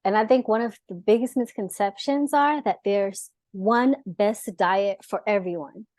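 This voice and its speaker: A young adult woman, born in the US and raised in Israel, chatting as a dietitian guest in a lively way, full of fillers and laughs. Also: medium pitch, unhurried, no echo, clean studio sound. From born in the US, grew up in Israel. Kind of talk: casual interview talk.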